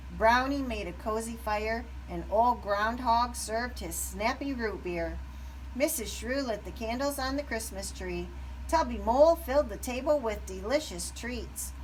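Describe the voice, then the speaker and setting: A woman narrating a children's story aloud with expressive, deliberate phrasing. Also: fairly high pitch, recorded from a distance, low-quality recording. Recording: background noise.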